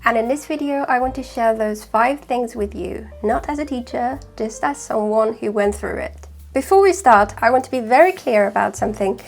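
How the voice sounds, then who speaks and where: A woman's voice: on the high side, normal speed, creaky, few pauses, faint music behind. Farsi-native woman with a British accent. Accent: British with slight northern English influence. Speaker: language-learning video host. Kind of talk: scripted talk to camera.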